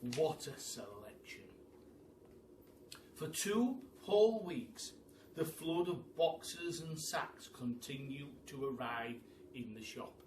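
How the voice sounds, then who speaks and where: A man reading a children's story aloud as a storyteller, in an animated way that speaks straight to his listeners. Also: on the high side, slow pace. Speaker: teacher storyteller. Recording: echoey room, distant mic, faint noise.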